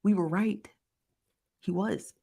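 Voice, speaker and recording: A woman's voice: medium pitch, vocal fry, warm tone, slightly rough. Woman commentary host, emphatic and repetitive, confident storyteller. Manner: emphatic, repetitive, argumentative, with quick laughs. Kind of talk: opinionated news commentary, reading viewer comments.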